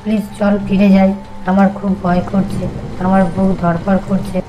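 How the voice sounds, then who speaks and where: A woman's voice: mid-range voice, level tone, normal speed, background noise, natural voice. A woman voicing a timid, frightened village boy in a horror cartoon. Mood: terrified, pleading. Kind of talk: Bengali animated horror story dialogue.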